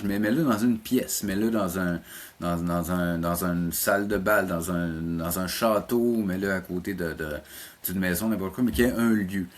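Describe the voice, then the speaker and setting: A mature man with a Québécois French accent, speaking informally and haltingly as a guest in a casual interview. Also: lowish voice. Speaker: interview guest, animation teacher and program coordinator.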